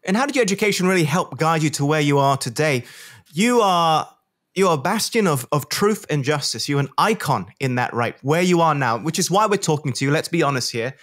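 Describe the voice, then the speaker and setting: Man interviewer, slightly high voice, chatty, effusive, desk mic on video call. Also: expressive, fairly quick, a bit bright. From Malaysia. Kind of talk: friendly interview questions. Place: home studio, video call. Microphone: desk microphone on a boom.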